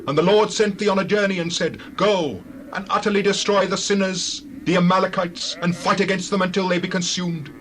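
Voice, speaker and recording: Stern biblical prophet, played by a man, solemn declaimer, slightly high male voice, faint background noise. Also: fairly even intonation, brisk, hardly any pauses, a bit bright. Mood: stern, rebuking. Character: stern, righteous prophet rebuking a king. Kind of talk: dramatized scripture reading.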